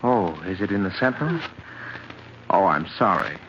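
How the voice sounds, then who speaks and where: An actor playing a patronizing, scheming nephew in a radio drama, polite, placating and emphatic. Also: male voice, low-pitched, very animated, moderate pace, fairly bright tone, exaggerated voice. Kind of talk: old-time radio drama acting. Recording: muffled, noisy old radio broadcast.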